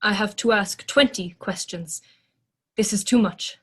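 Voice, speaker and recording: A woman's voice: mid-range voice, a little slow, a bit bright, breathy edge. Formal, literal-minded alien crew member voiced by a woman. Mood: puzzled, literal. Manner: formal, precise, literal phrasing. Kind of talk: scripted sci-fi audio drama.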